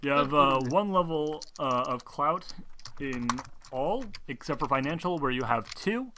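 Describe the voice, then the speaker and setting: A man's voice: a little high, animated, measured pace, sharp tone, a little hiss or hum. A man acting as game master, explaining tabletop game rules to his players in a bossy way.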